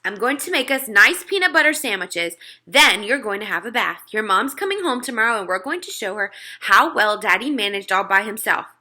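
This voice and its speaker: A woman's voice: mid-range voice, expressive, a little fast, hardly any pauses, pushed and bright. A woman voicing a cheerful, boastful middle-aged father in a children's storybook read-aloud. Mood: stern, brisk. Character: cheerful, overconfident middle-aged father, proud then flustered.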